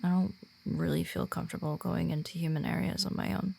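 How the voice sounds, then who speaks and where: Woman acting as shy werewolf girl in an audio roleplay, really gravelly fry, lowish voice, soft and kinda awkward. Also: little pitch movement. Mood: wistful, shy. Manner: hesitant, halting, awkward when personal. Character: shy, earnest born werewolf woman guiding a newcomer. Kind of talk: roleplay audio drama, softly spoken to the listener.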